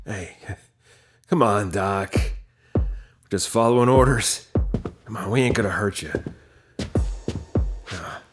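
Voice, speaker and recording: A man playing a crude, menacing henchman who turns cowardly when threatened, with rough colloquial speech, in an audio drama. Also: lowish voice, takes it slowly, pauses often, music in the background. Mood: taunting menace.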